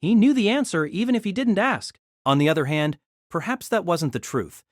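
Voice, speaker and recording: Expressive audio drama narrator. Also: mid-range voice, normal speed, dry recording. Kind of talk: reading a novel as an audio drama.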